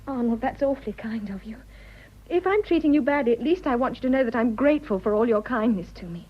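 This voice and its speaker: Young upper-class English wife, radio drama. Fraught and guilt-stricken, high, breathy voice. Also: female voice, expressive, quick pace. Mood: distressed, grateful. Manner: earnest, emotionally fraught. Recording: muffled, dull radio drama recording.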